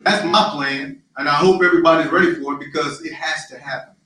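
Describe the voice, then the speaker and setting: A man running for city council, answering interview questions in a loud, emphatic, rallying way with lots of repetition. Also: a little high, fairly even intonation, fairly quick. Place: live-streamed social media forum. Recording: very echoey, distant mic.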